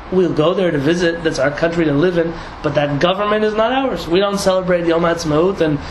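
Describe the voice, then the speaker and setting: A young man from San Diego, a rabbi talking casually to a group, lively and laughing with many restarts and asides. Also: high-pitched. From San Diego, United States. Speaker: rabbi teaching a class. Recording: echoey room, audible noise.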